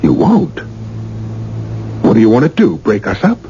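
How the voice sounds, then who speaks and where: An actor playing a frustrated, straying husband in a 1980s radio drama, sardonic and impassioned. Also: male voice, medium pitch, huge pitch swings, normal speed, dark tone. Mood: angry. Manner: sardonic, exasperated. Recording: muffled, noisy old radio broadcast.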